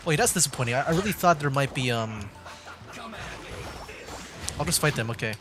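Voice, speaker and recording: A man chats casually through a game livestream in a mid-pitched voice, thinking aloud as he goes. His talk rambles loosely, with game music playing behind him. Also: expressive, fairly quick. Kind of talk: casual live game commentary.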